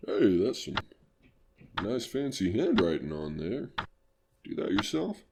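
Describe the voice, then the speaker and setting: A man's voice: on the low side, huge pitch swings, unhurried, creaky, noisy background, full character voice. A man playing a weary, amiable businessman in an audio horror drama, easygoing but hesitant. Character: weary, amiable married businessman, reluctant to linger.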